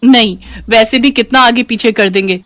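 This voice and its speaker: A woman's voice: fairly high pitch, normal speed, a bit bright, no echo, clean studio sound, voice pushed up, close to an everyday voice. A woman voicing a bubbly, playful young woman who loves saris, speaking chirpily in a raised voice. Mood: cheeky. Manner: chirpy, cheeky.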